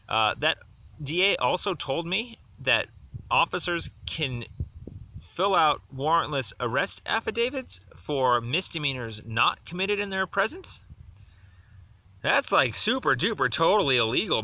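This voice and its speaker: A man's voice: on the high side, very animated, moderate pace, sharp tone. A man leaving a long voicemail complaint, insistent and aggrieved, repeating himself as he builds his case. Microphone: phone. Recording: distant voice with faint noise on a phone voicemail.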